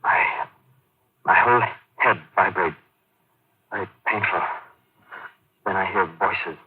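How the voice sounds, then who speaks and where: A man playing a pained, haunted army colonel and hospital patient, halting and agonised, in an old-time radio drama. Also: deep voice, husky, heavily acted. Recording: muffled old radio recording.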